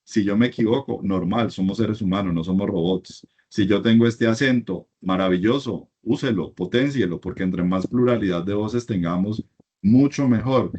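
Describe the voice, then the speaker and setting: A man's voice: lowish voice, moderate pace, darkish tone, breathy edge, dull audio. A middle-aged man from Colombia giving a university class lecture, emphatic and repeating his points in lists.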